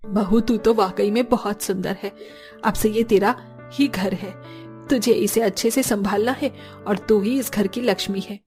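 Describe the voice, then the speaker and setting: Woman doing a kind older saas in a cartoon drama, soft music behind. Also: mid-range voice, fairly even intonation, unhurried, natural voice. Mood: welcoming. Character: kindly older village mother-in-law, gentle and understanding.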